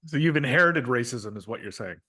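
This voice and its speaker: A man's voice: mid-range voice, lively intonation, brisk, pushed and bright, breathy edge. A man co-hosting a comedy podcast, making dry, teasing remarks at his co-host. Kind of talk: casual comedic chat.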